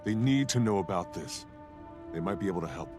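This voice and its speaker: An actor playing a big, steady warrior hero in a fantasy video game, speaking in an earnest, measured, plain way. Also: male voice, deep voice. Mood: serious. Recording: game music behind the voice.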